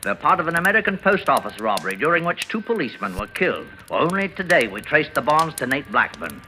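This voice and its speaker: A dogged police sergeant in a vintage radio thriller. His voice is very sharp and forceful, with lively pitch. He is clipped, official and insistent, on a slightly noisy old recording. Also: quick pace, full character voice. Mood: polite, probing. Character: dogged male Scotland Yard sergeant, courteous turning hard. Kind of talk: old-time radio drama acting.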